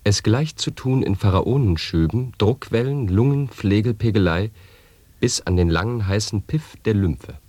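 An actor in a radio play reciting chained nonsense statements in a measured, lecturing way. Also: male voice, fairly low pitch, a little mellow, dry recording, close to an everyday voice. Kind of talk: reciting sound poetry.

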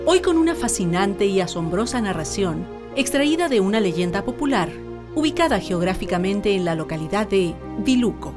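Female radio drama narrator, mid-pitched, scripted, theatrical, over prominent music. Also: big pitch swings, normal speed.

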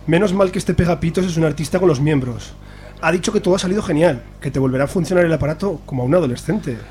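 A man playing a loyal, crude friend who talks in quick slang. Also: on the high side, darkish tone, slight background noise, lightly acted.

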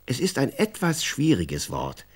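A man's voice: medium pitch, huge pitch swings, a little slow, slightly rough. A man narrating a scripted children's story, addressing his young listeners directly as a storyteller.

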